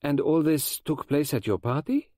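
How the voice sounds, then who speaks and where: A man reading aloud, voicing a fussy, precise, elderly foreign male detective who speaks slowly and formally. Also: a little high, mellow, no echo, studio quality, pitched above the performer's own voice, natural voice. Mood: measured.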